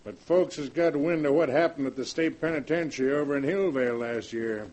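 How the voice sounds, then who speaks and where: An actor playing a rural sheriff in a 1940s radio drama, with drawling, colloquial small-town speech. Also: male voice, fairly high pitch, a little fast, darkish tone, close to an everyday voice, poor audio. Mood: folksy. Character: folksy rural male sheriff.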